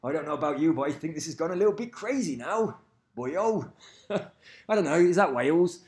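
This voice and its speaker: A man's voice: on the high side, expressive, normal speed, a little mellow. A man rambling casually to camera, swearing freely and losing track of names. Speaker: vlogger. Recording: echoey room.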